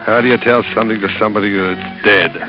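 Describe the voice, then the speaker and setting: A man's voice: lowish voice, steady tone, fast, lightly acted. An actor playing a grim, world-weary American man with terse, hard-boiled delivery in a 1940s radio drama. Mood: melancholy. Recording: muffled old radio recording with music.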